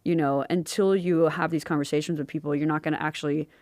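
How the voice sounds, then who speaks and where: A woman's voice: low-pitched, fairly even intonation, fast, a little mellow, no room sound. A woman hosting a podcast, chatting casually with her guest in an effusive, affirming way. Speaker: podcast host interviewing a guest.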